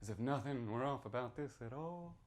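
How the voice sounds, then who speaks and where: A man playing a folksy American small-town storyteller, telling a dark witch legend in a theatrical, conspiratorial way with questioning tags. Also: medium pitch, expressive, brisk, close to an everyday voice. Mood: hushed, eerie. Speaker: author reading from a novel. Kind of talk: theatrical in-character reading of horror fiction.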